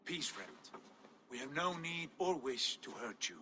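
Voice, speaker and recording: An actor playing a proud, magnanimous Viking jarl who speaks formally and with authority in a game cutscene. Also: male voice, a little high, lively intonation, unhurried, sharp tone, slight background noise. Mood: stately, welcoming. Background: music.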